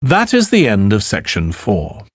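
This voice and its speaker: A man announces exam instructions formally and slowly. His voice is a little husky, with strongly varied intonation, in a dry recording. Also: on the low side, huge pitch swings, no echo. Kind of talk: scripted test instructions.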